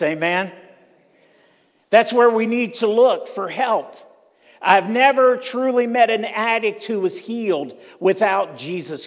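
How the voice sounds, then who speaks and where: An older man from Ohio preaching a sermon in a church, slow and emphatic, repeating key lines. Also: sits high. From Ohio, USA. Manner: slow, emphatic preaching with repetition and rising intensity. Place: church sanctuary. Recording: long hall reverb.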